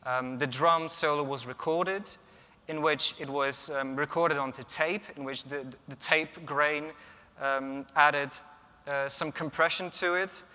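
Young male speaker, Polish native, bright voice, lectern mic, long echo of a big hall, hesitant and thoughtful. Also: fairly high pitch, fairly even intonation, a little slow. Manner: thoughtful, many ums and restarts. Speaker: conference speaker. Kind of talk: technical conference talk. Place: large conference hall, at a lectern. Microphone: lectern microphone.

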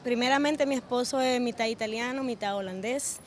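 A woman's voice: on the high side, a little fast. A woman answering a street interview outdoors, earnest, telling her story. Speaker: passerby.

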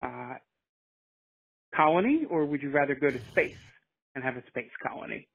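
A man's voice: mid-range voice, expressive, moderate pace, gravelly, fairly bright tone, breathy edge. A man from the United States leaving a casual voicemail question as a caller. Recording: dull, lo-fi phone voicemail.

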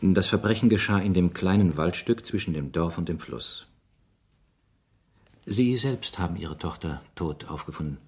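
An actor playing a polite but persistent police inspector in a German radio crime drama, speaking formally and with measured care. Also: male voice, low-pitched, animated, darkish tone, husky, full character voice. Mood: polite, probing. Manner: formal, measured, hesitant fillers.

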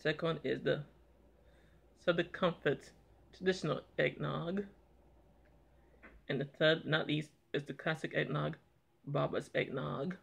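A young man talking plainly and a little haltingly as he reviews eggnog on a home vlog, filmed by a camera on the table in a small room. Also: a little high, gravelly, a bit bright, husky. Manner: plain, unhurried, slightly halting talk. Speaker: product reviewer. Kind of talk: casual taste-test vlog. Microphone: camera on table in front.

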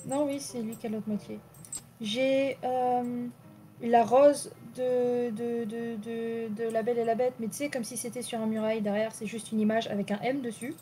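A woman's voice: fairly high pitch, fairly even intonation, normal speed, mellow, soft background music. A young woman co-hosting a live stream, chatting casually and rambling with lots of hesitations. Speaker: stream co-host. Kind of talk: casual chat.

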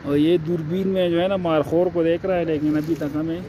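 A man with a fairly high voice narrates his hike as he walks a rocky mountain trail, pointing things out in a casual, chatty way. The handheld phone mic picks up steady outdoor wind noise. He sounds like someone filming himself on the move. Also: brisk. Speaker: vlogger. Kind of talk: casual hiking narration to camera.

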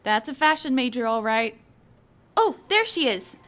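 An eager, caring college girl, acted by a young woman in an audio drama. Her voice sits notably high. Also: big pitch swings, moderate pace, a bit bright. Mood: earnest. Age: young adult. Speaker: student actor.

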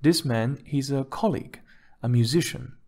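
A man acting an older husband in a storybook reading. He speaks in a measured, mid-pitched voice, affectionate yet firm, gently chiding and soothing. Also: natural voice. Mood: placating.

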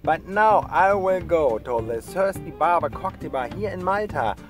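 A man's voice: on the high side, expressive, normal speed. A man in his forties, an upbeat travel vlogger talking to the camera at arm's length, with slightly non-native phrasing. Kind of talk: casual vlog to camera. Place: in a car, then outdoors in the sea. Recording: loud background music over voice. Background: loud music.

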